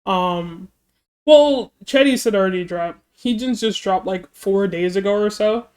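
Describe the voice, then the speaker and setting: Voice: medium pitch, unhurried, a little mellow, clear, resonant tone. Chatty streamer with a frayed throat. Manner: rambling and chatty, many fillers and self-interruptions. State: throat trouble, voice going in and out. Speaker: music video reaction streamer. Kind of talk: casual chat to camera.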